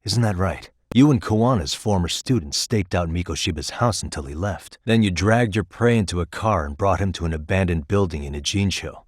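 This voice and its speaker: An American actor playing a sharp, determined male private detective in a video game, measured and probing, with dry, pointed questions. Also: low voice, no echo. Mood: determined, accusing. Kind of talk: video game voice acting, dramatic dialogue.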